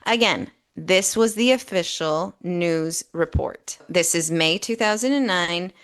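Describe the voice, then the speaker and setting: A woman's voice: on the low side, measured pace. A woman co-hosting a podcast, explaining and reading out reports in a methodical, emphatic way, often checking in as she goes. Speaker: podcast co-host.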